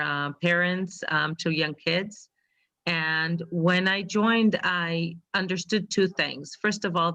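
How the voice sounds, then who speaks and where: Low-voiced woman, Hebrew native, on a call. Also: bright, forceful tone, rough recording. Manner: hesitant, many ums. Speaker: webinar participant. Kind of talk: casual pitch on a video call.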